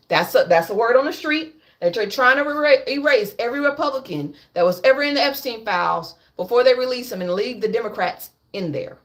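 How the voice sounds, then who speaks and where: A woman's voice: sits high, a bit bright. Blunt, profane woman ranting on a livestream. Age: 30s. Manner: blunt, profane, repeats herself for emphasis. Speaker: livestream host. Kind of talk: candid livestream rant.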